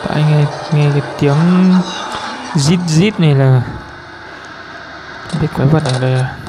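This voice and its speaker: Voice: mid-range voice, fairly even intonation, unhurried, noisy background. A person giving casual, exclamatory commentary as host of a gameplay video. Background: music.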